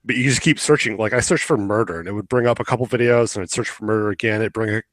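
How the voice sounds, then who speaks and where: Low-voiced man, casual and opinionated. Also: quick pace, no room sound. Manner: opinionated, rambling, swears casually. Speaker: podcast co-host. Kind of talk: casual chat about video games.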